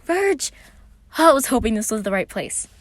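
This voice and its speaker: A woman voicing an enormous, cheerful young man who is gentle, adorable and effusive in a story reading. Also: a little high, very animated, moderate pace, no room sound, voice pushed up. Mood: relieved, delighted. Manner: hearty, effusive, apologetic.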